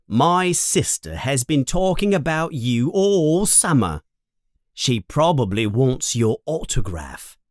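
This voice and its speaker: A man's voice: mid-range voice, very animated, slow pace, no room sound, studio quality. A man voicing a friendly, easygoing young boy in a storybook reading.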